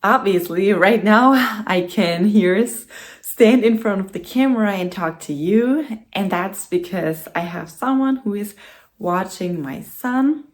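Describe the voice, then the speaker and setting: A woman's voice: medium pitch, unhurried. A young German woman, a native German speaker, chatting in English in a rambling vlog from home, recorded on a phone and laughing often between phrases. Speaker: vlogger. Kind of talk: casual vlog chat. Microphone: phone camera.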